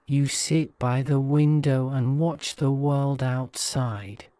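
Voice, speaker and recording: A man narrating a guided-imagery sleep story in a soothing, measured manner. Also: medium pitch, fairly even intonation, dark tone, clear, resonant tone, dry recording.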